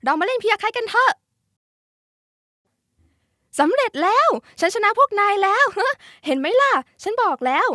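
High-voiced young cartoon schoolgirl, played by a woman. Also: big pitch swings, normal speed, pauses often, bright, forceful tone, no room sound, studio quality, heavily acted. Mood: excited, triumphant. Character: lively, caring schoolgirl who loves a card-flicking game. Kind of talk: character voice pack lines.

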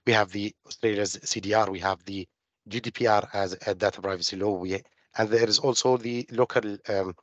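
A middle-aged man from Jordan, giving a technical webinar presentation over a video call, halting with many fillers and restarts. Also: on the low side, fairly even intonation, dry recording, muffled recording. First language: Arabic. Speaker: webinar presenter on open finance.

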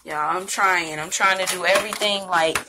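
A woman's voice: lowish voice, steady tone, moderate pace, background noise. A middle-aged woman hosting a home cooking video, chatting casually to viewers as she cooks in her kitchen while filming with her phone. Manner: chatty, rambling, talks straight to viewers. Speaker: home cooking channel host. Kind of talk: casual cooking demo chat. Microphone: handheld phone camera.

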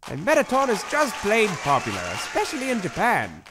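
A woman voicing an excitable, vain but innocent cartoon skeleton man in a theatrical, emphatic way. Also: mid-range voice, a little fast, crowd noise, noisy background. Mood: boastful, friendly. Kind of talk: animated comic dub.

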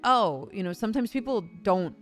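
A woman's voice: mid-range voice, lively intonation, normal speed, fairly bright tone, faint music behind. A woman talking casually as a voice actor and host, acting out imagined quotes as she explains things. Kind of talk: casual conversational discussion.

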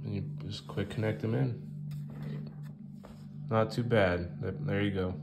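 A man with a Southern American accent talking through a how-to at a workshop bench, relaxed and full of ums and uhs. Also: fairly low pitch, a little slow, vocal fry. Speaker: fishing channel host. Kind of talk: casual how-to walkthrough. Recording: loud guitar music behind.

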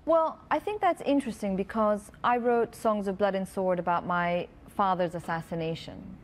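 A woman's voice: medium pitch, moderate pace, a little hiss or hum. Earnest, articulate woman author on stage. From Pakistan. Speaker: author guest. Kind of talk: reading aloud and answering interview questions. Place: festival stage before a large audience.

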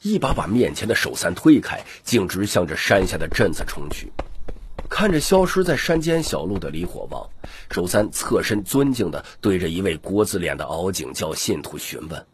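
Deep, expressive male audiobook narrator. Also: moderate pace, a little hiss or hum. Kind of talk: dramatic scripted narration.

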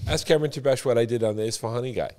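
A man's voice: medium pitch, rapid-fire, a little mellow. A man in casual podcast banter, telling sales stories assertively and repeating himself for emphasis. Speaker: former car salesman and floor manager, podcast talker.

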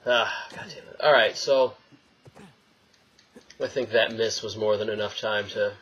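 A man's voice: fairly low pitch, steady tone, measured pace, fairly bright tone, breathy edge. A young man giving casual, jokey let's play commentary, rambling to himself and the game as he plays. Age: young adult. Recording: dull lo-fi mic, faint noise.

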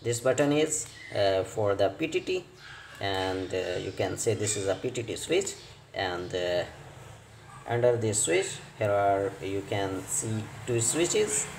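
A man explains a repair step by step as a non-native English speaker, in an unhurried, hesitant way with short broken phrases. His voice sits at an ordinary pitch. The recording is roomy and echoey, with clear noise behind him. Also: expressive. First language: not English. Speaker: repair tutorial presenter. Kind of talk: hands-on repair tutorial narration.

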